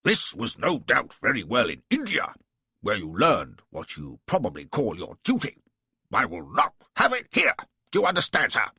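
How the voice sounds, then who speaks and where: A pompous cavalry lord barks and sneers with a raspy, gravelly edge. His voice rides high and piercing, swinging wildly up and down as he bullies. An audiobook villain, full of scorn. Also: huge pitch swings, normal speed, sharp tone, no echo, heavily acted. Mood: raging. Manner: sneering, bullying, repeats "sir" with scorn. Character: pompous raging aristocratic cavalry lord, middle-aged man. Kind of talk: audiobook character voice.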